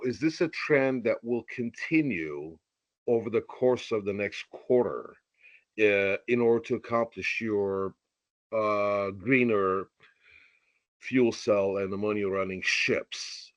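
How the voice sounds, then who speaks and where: Low-voiced male caller on dull line. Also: dry recording. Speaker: caller asking questions. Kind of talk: formal questions on an earnings call. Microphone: remote conference call.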